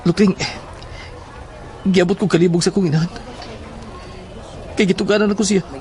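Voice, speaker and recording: A man's voice: high voice, fairly even intonation, moderate pace, a little mellow, natural voice. A man with a Cebuano accent playing a troubled schoolboy who confides in horror audio drama dialogue. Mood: troubled, confiding.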